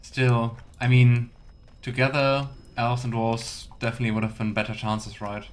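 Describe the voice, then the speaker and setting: A man's voice: mid-range voice, little pitch movement, normal speed, slight background noise. A man giving casual, musing let's play commentary with wry teasing asides. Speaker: game streamer.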